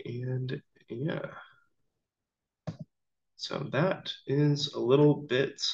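A young man, sick and a little off voice, walking through a slideshow activity in a casual, halting way with many ums. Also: medium pitch, fairly even intonation, darkish tone. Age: young adult. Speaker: student teacher presenting an activity. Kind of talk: recorded slideshow walkthrough. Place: small room indoors. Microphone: laptop webcam mic. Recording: dull lo-fi video call audio.

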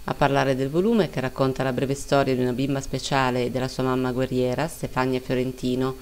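A woman giving a formal, measured news voice-over. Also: a bass voice, little pitch movement, a little mellow. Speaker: news narrator.

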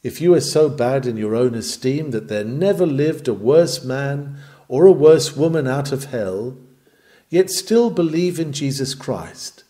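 A man's voice: mid-range voice, lively intonation, unhurried, mellow. A man reading an old sermon aloud in an earnest, emphatic, oratorical manner. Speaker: reader of historical sermons. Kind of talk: dramatic read-aloud sermon.

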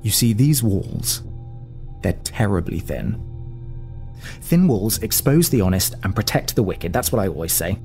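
An actor playing an anxious, indignant, paranoid businessman in an audio drama, halting and often interrupting himself. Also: on the low side, big pitch swings, darkish tone, soft background music. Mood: bitter, suspicious. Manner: halting, muttering, self-interrupting. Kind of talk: audio drama monologue and phone dialogue.

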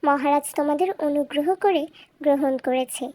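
A woman's voice: sits high, normal speed, darkish tone, pitched above the performer's own voice, close to an everyday voice. A woman voicing a clever, cheeky little talking bird in a storybook cartoon, with a theatrical, coaxing and taunting manner. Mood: mocking, proud.

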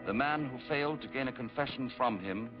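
A man giving formal, measured documentary narration on an old 1950s film soundtrack. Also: mid-range voice. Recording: dull old film soundtrack with faint music.

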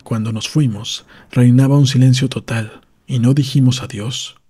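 A man's voice: medium pitch, fairly even intonation, moderate pace, mellow, breathy edge. A man reading a novel aloud as scripted audiobook narration for a podcast. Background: faint music.

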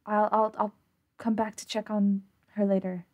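An anxious young crew member, played by a woman in a tabletop game. The voice is mid-pitched and stammers over repeated words, eager to help. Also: little pitch movement, normal speed, a little mellow, close to an everyday voice.

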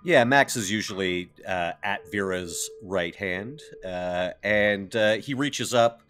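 A man's voice: on the low side, slow pace, fairly bright tone. A man playing a tabletop game, describing what his character does.

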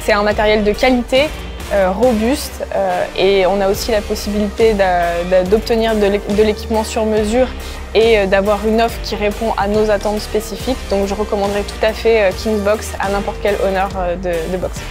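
A woman's voice: mid-range voice, fairly even intonation, moderate pace. A young woman who co-owns a gym and coaches there, speaking earnestly in an interview with some hesitations. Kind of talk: interview testimonial. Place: large indoor gym. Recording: music playing behind voice. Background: background music.

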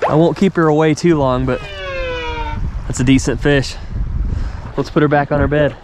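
A man's voice: on the high side, normal speed. Chatty man vlogging from a kayak. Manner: chatty, excitable, talks straight to viewers. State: tired. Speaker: fishing channel host. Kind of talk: casual on-the-water vlog narration. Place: outdoors on a river, in a kayak and on the bank. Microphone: action camera. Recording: wind and water noise from outdoor camera.

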